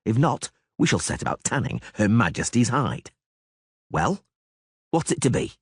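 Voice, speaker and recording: A male narrator voicing a weary grown monster hunter who speaks in a curt, dry, gruffly sarcastic way. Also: mid-range voice, very animated, normal speed, no room sound, pitched above the performer's own voice. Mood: stern. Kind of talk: audiobook character voice.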